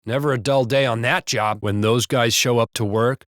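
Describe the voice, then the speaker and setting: A man voicing a gruff American patrol cop for a video game. He is blunt and foul-mouthed, talking like a street officer, recorded very dry. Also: medium pitch, steady tone, moderate pace, a bit bright, no room sound, natural voice. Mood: casual banter. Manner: blunt, profane, colloquial. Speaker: police officer character.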